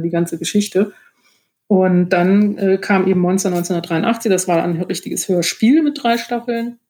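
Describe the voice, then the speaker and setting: A woman's voice: medium pitch, darkish tone. A woman speaking casually as an author guest in an interview, phrasing her thoughts hesitantly.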